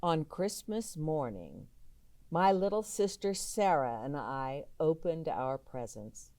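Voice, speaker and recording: A woman with a low voice reads a children's storybook aloud at a very slow, deliberate pace. Her delivery is expressive and lively in pitch, with dramatic pauses that set single words apart. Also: no echo.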